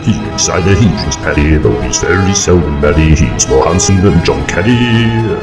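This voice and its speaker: A man's voice: extremely low pitch, expressive, moderate pace. A man delivering a rhyming theme verse for a comedy audio drama. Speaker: theme-song vocalist. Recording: loud music over the voice.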